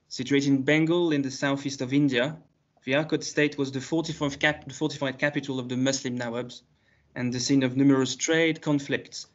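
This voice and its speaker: Male conference speaker, measured read-aloud paper, mid pitch, slightly muffled video call. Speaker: conference presenter. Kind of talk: reading a prepared academic paper.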